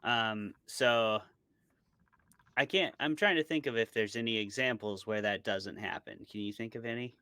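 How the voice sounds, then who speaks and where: Male guest author, low pitch, crisp tone, dry close sound, thoughtful casual talk. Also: moderate pace, pushed and bright, no echo. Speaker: author being interviewed. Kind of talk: casual chat about horror tropes.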